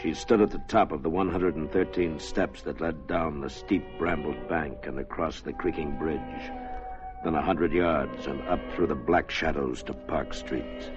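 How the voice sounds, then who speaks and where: An ominous storyteller in an old radio thriller. His voice is extremely deep, and he narrates over prominent music on a muffled vintage recording. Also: a little slow. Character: ominous male storyteller of a suspense tale.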